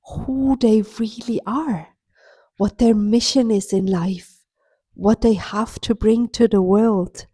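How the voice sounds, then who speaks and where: A woman speaking as a spiritual healer, giving an earnest, soft-spoken coaching monologue straight to her listener. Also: medium pitch, no echo. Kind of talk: reflective motivational monologue.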